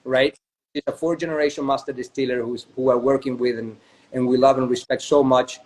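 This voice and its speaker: A man's voice: medium pitch, steady tone, a little fast, darkish tone, low-quality recording. A man from Chile, a spirits company co-founder, telling a long story in a casual interview over a video call, often checking in with "right?". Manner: chatty, often adds "right?".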